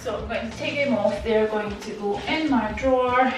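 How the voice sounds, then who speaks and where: A woman with a fairly high voice speaks in a casual, chatty vlog style. She is expressive and playful, often talking to herself as she goes. Music plays faintly underneath. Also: moderate pace. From Estonia. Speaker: vlogger, nail technician. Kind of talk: casual chatty vlog voice-over while tidying.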